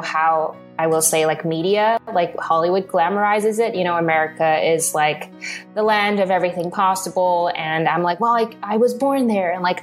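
Rambling young woman, slightly low voice. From Hong Kong. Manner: rambling, many fillers and restarts. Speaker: interview guest, video producer. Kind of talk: casual interview talk.

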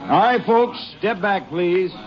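An actor playing a seasoned, middle-aged, plain-spoken sheriff in a 1950s western radio drama. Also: male voice, high-pitched, lively intonation, slow pace, a crowd in the background. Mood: commanding. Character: seasoned, folksy male small-town sheriff. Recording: muffled old radio recording, background voices.

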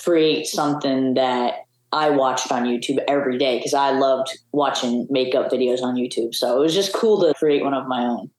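A woman's voice: a bass voice, fairly even intonation, normal speed, a little mellow, roomy sound, rough recording. A young woman, a guest in an interview, telling her story in an open, reflective way. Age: young adult. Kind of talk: casual interview chat.

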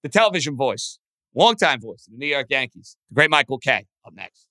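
A man with a booming voice hosting a sports podcast, excitable and emphatic in short punchy phrases. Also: fairly quick, rough recording. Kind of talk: energetic sports commentary and interview.

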